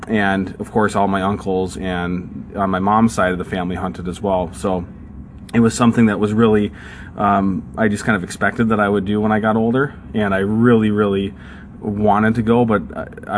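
A man's voice: on the low side, steady tone, moderate pace, slight background noise. A young man with a northern Minnesotan accent, co-hosting a podcast and telling stories in a casual, rambling way with many fillers. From southern United States. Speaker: podcast co-host. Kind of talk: casual chat.

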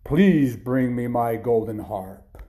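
A storyteller voicing a menacing, heavy giant with a slow, chanting delivery in a fairy tale. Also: medium pitch, expressive, mellow. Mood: menacing, commanding. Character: booming menacing giant who eats little boys.

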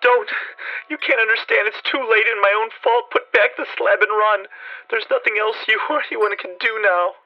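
Man voicing a panicked trapped man, high strained voice, desperate pleading, dull lo-fi sound. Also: fairly even intonation, moderate pace, no echo, natural voice. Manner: desperate, pleading, rising to shrieks.

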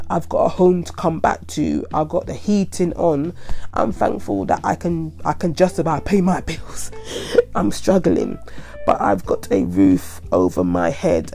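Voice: medium pitch, vocal fry, dark tone. A young adult podcaster with a stuffy head cold, rambling casually and repeating themselves. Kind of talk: casual solo monologue.

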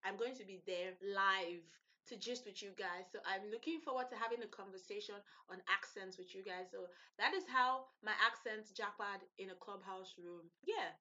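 A Nigerian woman tells a personal story on her solo podcast in a loose, animated way and laughs often. Her voice is bright and clear. The recording is a little dull, like lo-fi home audio. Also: medium pitch, moderate pace, hardly any pauses. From Nigeria (Edo State). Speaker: podcast host.

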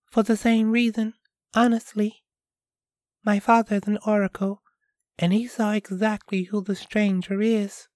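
A man voicing a frightened young girl who speaks softly and formally. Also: high voice, flat delivery, measured pace, dry recording, clean studio sound, pitched above the performer's own voice. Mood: anxious.

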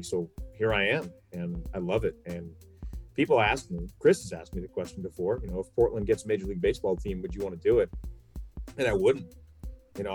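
A man's voice: low voice, a little mellow. A middle-aged American man, a sports broadcaster, chatting casually and explaining things at length as a podcast guest.

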